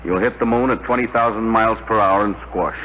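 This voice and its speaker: An actor playing a stern, authoritative spaceship commander giving brisk orders in a 1950s radio drama. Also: male voice, fairly low pitch, steady tone, lightly acted. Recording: dull, noisy vintage radio broadcast.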